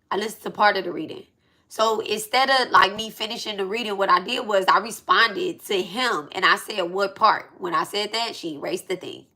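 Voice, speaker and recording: A woman's voice: mid-range voice, bright, forceful tone. A woman in her thirties talking casually on her own vlog, blunt and emphatic, often repeating herself and swearing. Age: 30s. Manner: blunt, emphatic, repetitive, profane. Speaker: spiritual reader and channel host. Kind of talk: casual venting vlog talk.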